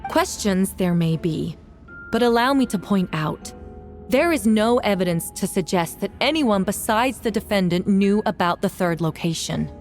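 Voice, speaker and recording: A woman's voice: medium pitch, expressive, normal speed, lightly acted. A woman voicing a stern, formal prosecutor in a fantasy video game, pressing her case with pointed accusation. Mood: pointed, skeptical. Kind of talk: dramatic video game voice acting. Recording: music behind the voice.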